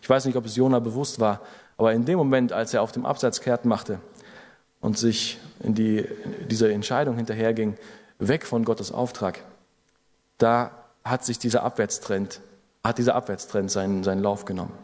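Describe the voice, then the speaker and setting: A man's voice: on the low side, steady tone, normal speed, a little mellow, in a large hall. A man preaching an earnest sermon to a congregation, building to urgent exhortations. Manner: earnest exhortation with rising emphasis.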